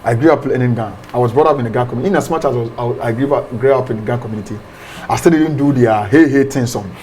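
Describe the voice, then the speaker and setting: A man, a pastor explaining ideas in an interview, animated and often repeating words. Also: mid-range voice, fast, a little hiss or hum. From Ghana.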